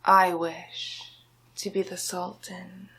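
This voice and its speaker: A woman playing a scheming, power-hungry royal vizier in an ASMR villain roleplay, speaking softly and deliberately with theatrical menace and often dropping into a whisper. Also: lowish voice, level tone, full character voice. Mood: condescending, ambitious. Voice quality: soft, breathy, half-whispered.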